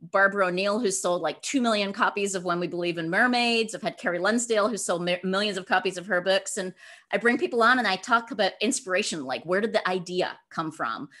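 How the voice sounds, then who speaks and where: A woman gives conversational answers as an interview guest. Her voice sits at an average pitch for a woman. She speaks informally and with emphasis, in an animated, storytelling way. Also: a little fast. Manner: emphatic, informal, acts out imagined dialogue. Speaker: guest author and podcaster.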